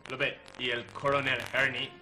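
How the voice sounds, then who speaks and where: A man playing a proud Irish deserter captain, recounting his past in a measured, earnest way. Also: mid-range voice, level tone, bright, forceful tone, poor audio. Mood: solemn. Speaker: guest in character. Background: music underneath.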